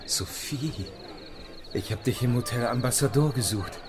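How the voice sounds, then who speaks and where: A man's voice: medium pitch, unhurried, noisy background, lightly acted. An actor playing an ardent, insistent young man in a radio drama, speaking directly. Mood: urgent, hurt. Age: young adult. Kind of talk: German radio drama acting.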